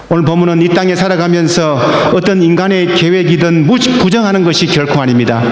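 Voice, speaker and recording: A man preaching a sermon as pastor, slow and emphatic, with stressed words that rise. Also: fairly high pitch. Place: church sanctuary. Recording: echoey church hall.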